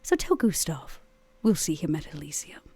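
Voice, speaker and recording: A woman voicing a haughty, coolly menacing vampire in a tabletop roleplay, deliberate, formal and condescending. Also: low-pitched, very animated, creaky, warm tone, breathy edge, no room sound, pitched below the performer's own voice, heavily acted. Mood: cool menace.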